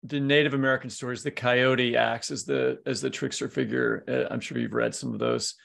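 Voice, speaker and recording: A man's voice: medium pitch, brisk, gravelly, fairly bright tone. A man co-hosting a podcast, asking interview questions casually, with many fillers and hesitations. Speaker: podcast co-host.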